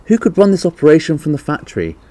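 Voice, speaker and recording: Man reading as a keen girl, pitched up. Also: very animated, quick pace, mellow, a little hiss or hum. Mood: gentle. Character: eager girl piping up, later gently questioning. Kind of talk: children's storybook character reading.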